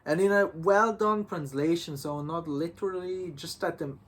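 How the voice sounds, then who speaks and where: A man with a fairly high voice who is not a native English speaker. He talks as a co-host in an informal discussion. His phrasing is hesitant and halting, as he works out his sentences while speaking. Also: fairly bright tone. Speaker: co-host of a visual novel review channel. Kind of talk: casual unscripted discussion.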